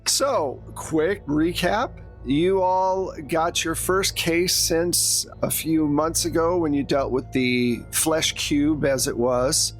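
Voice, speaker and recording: A man's voice: fairly high pitch, slow, few pauses, faint music behind. A man narrating a tabletop roleplaying game as game master, telling the story in a conversational way.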